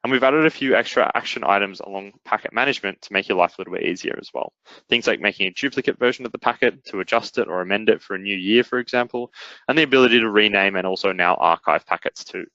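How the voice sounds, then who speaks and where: A man presenting a software product in a webinar, explaining it step by step in an instructional way. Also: on the low side, quick pace, dry recording, muffled recording. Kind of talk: explanatory webinar demo narration.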